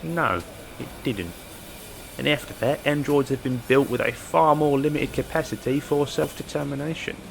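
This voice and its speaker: A man playing an earnest, polite activist with a gravelly voice in a sci-fi audio drama. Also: mid-range voice, normal speed, slight background noise, lightly acted. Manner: polite, explanatory. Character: earnest, soft-sell male android-rights activist.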